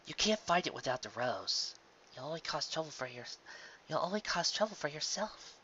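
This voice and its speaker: Sensible female pony friend, voiced by a narrator, cautioning and earnest, bright tone, slightly muffled audio. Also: mid-range voice, sing-song, normal speed, slight background noise, voice pushed up. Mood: worried, cautioning.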